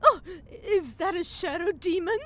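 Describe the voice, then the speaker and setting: Woman playing a high-pitched haughty fairy snob. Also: measured pace, a bit bright. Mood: disdainful, snobbish. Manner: snobbish, disdainful, theatrical. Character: haughty, critical traditional fairy woman visitor. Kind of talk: comedy audio drama acting.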